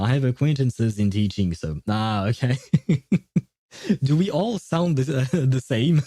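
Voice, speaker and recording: Male streamer, low relaxed mellow voice, dry close sound, rambling casual chat with playful grumbling. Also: dry recording. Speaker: livestream host, gaming streamer. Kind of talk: casual livestream chat with viewers.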